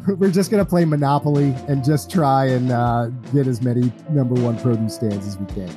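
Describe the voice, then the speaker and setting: Jokey male game master, halting delivery. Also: mid-range voice, over music. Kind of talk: casual tabletop game narration.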